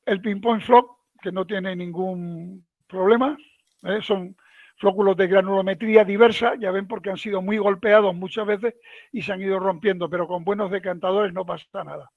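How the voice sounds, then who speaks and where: A man gives a relaxed, folksy technical talk over a video call. His voice sits fairly high. The audio is dull and lo-fi, with a dry, close sound. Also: moderate pace, gravelly, no echo. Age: 70s. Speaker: guest lecturer. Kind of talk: online technical lecture.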